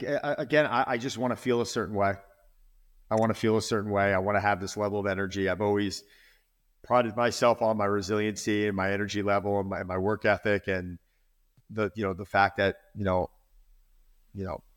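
A man's voice: on the low side, steady tone, brisk. A man in his forties, a fitness trainer, speaking earnestly in a podcast interview and often repeating words as he makes his point. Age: 40s.

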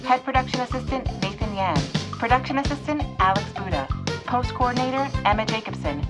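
Woman reading credits over loud music. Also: fairly high pitch, fairly quick. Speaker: credits announcer.

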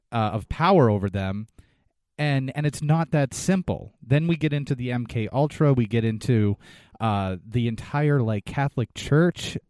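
A man interviewing someone conversationally, halting and searching for his words as he puts his questions. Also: medium pitch, darkish tone, no room sound.

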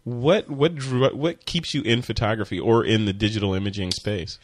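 A man hosting a photography podcast, reading a sponsor spot and interviewing a guest in a relaxed, chatty way with many fillers and restarts. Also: fairly low pitch, moderate pace. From Chicago. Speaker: podcast host. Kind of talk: casual interview and ad read.

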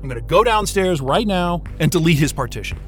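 Frantic, high-pitched exhausted man, played by an actor. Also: expressive, brisk, faint music behind, natural voice. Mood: defiant, angry. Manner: agitated, sweary, stammering. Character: frantic, exhausted, angry male station crewman. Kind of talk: audio drama acting.

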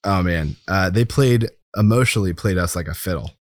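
A man's voice: low voice, a little fast, no room sound, studio quality. A man co-hosting a podcast, chatting casually and enthusiastically about a TV show. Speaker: podcast co-host.

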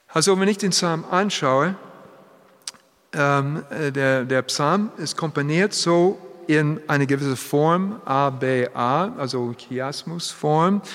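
Man preaching slowly in a big echoey hall, german not his first language, slips into english. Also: fairly high pitch, a bit bright. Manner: slow, halting, mixes in English phrases. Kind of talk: sermon. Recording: long hall reverb.

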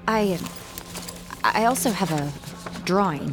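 A woman's voice: mid-range voice, expressive, slow pace, music in the background. An actress playing an anxious young female artist, earnest and hesitant, in an audio drama.

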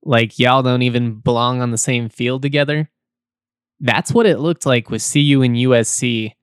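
A man with a slightly hoarse voice hosting a casual sports podcast, talking loosely and repeating words as he goes. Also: medium pitch, fairly even intonation, moderate pace, no echo, clean studio sound. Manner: chatty, repeats words, laughs between points.

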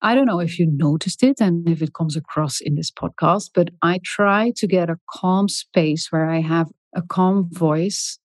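A woman author speaking as a podcast guest in a soft, calm, warm voice, reflective and measured with frequent ums. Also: fairly low pitch, dry recording, studio quality. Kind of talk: podcast interview.

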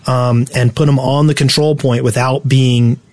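A man co-hosting a casual gaming podcast, explaining tactics in a halting, instructional way. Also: mid-range voice, steady tone, a little mellow, no echo. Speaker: podcast host.

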